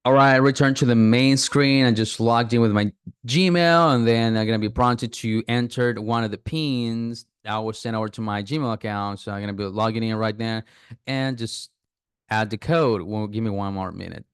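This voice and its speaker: Smooth-voiced man walking through an app. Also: lowish voice, fairly even intonation, normal speed, no room sound, clean studio sound. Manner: chatty, thinks aloud with fillers and restarts. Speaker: app reviewer. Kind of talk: casual screen-recording walkthrough.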